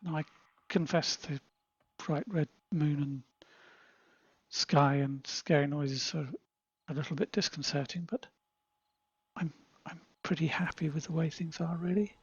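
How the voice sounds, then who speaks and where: A man's voice: on the high side, fairly even intonation, measured pace, breathy edge, no echo, lo-fi sound. Man playing a smug, proper British jungle man. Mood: pleased. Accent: British English. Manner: chatty, understated, self-satisfied, muttering asides. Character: confident jungle man Tarzan turned proper British gent. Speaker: gameplay commentator in character. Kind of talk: in-character gameplay narration.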